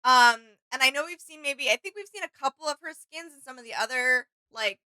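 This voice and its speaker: A woman's voice: sits high, brisk, pushed and bright, dry recording, rough recording. A woman co-hosting a reaction video in casual, conversational chat.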